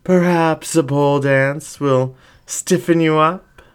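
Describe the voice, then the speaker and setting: A man's voice: on the high side, fairly even intonation, smooth, pitched above the performer's own voice. A man voicing a flamboyant, vain and seductive male fox ringmaster in a cooing, theatrical way, as in an audio story. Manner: cooing, drawn-out, theatrical delivery.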